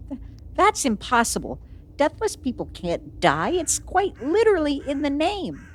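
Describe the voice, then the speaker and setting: A woman's voice: high voice, very animated, a little slow. A woman playing a proud, youthful immortal villain sorceress in a fantasy audio roleplay, theatrical, haughty and easily put on the defensive. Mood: alarmed.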